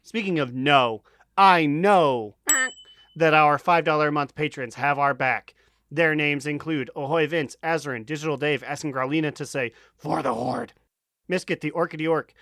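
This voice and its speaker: A man's voice: a little high, normal speed, fairly bright tone, no echo. A man hosting a podcast in casual chat, with a jokey, sweary manner. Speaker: podcast host.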